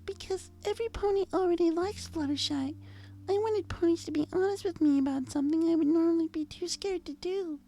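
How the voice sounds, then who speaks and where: A woman voicing a shy, gentle young female pegasus pony in an audio story, softly and hesitantly. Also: extremely high pitch, a little fast, rough recording. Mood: timid confiding. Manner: hesitant, timid. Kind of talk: audio fan-fiction character reading.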